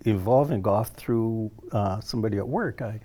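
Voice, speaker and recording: An elderly man from Barbados, a retired guest in casual conversation, reminiscing haltingly and often repeating words as he goes. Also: fairly low pitch, warm tone. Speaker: interview guest, retired social worker.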